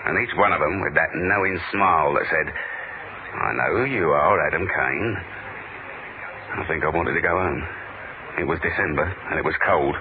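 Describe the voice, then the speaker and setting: An actor playing a world-weary, wry British spy with a dry, deadpan delivery in a radio thriller. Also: male voice, rumbling low, normal speed, pauses often, narrow, tinny audio, noisy background. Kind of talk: 1970s radio spy drama narration. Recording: thin old radio recording, loud music.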